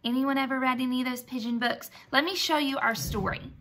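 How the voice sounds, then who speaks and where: A woman teacher giving an expressive read-aloud lesson for young children, using simple words and prompting with questions. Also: high voice, fairly quick.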